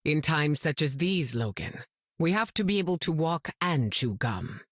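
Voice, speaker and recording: An actress playing a regal, composed mutant heroine in a video game, with a stately, measured manner and dry wit. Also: female voice, low voice, lively intonation, no echo. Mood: composed, grave. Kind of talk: video game character lines.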